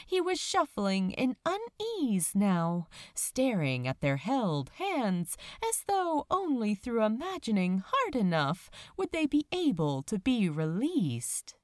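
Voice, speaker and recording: A woman narrating fan fiction as a dramatic audiobook, with deliberate phrasing. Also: on the high side, wildly swooping pitch, dry recording, clean studio sound.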